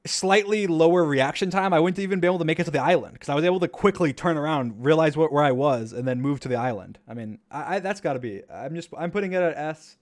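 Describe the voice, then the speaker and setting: A man's voice: a little high, lively intonation, fast, hardly any pauses. A man casually commenting on his own gameplay clips during a stream, rambling and repeating himself with enthusiasm.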